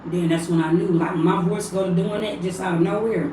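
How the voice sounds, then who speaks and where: A woman with a raspy, hoarse voice, chatting loosely on a livestream as a spiritual reader and calling her viewers family. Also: fairly low pitch, steady tone, a little fast, warm tone, slight background noise. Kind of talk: casual livestream chat. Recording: echoey room, mic at a distance.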